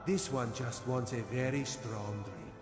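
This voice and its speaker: A man's voice: medium pitch, a little slow, close to an everyday voice. Man voicing a roguish cat-folk agent in a reverberant hall. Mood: wry, weary. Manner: theatrical, speaks of himself in third person. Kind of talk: fantasy video game voice acting. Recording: long hall reverb, music behind.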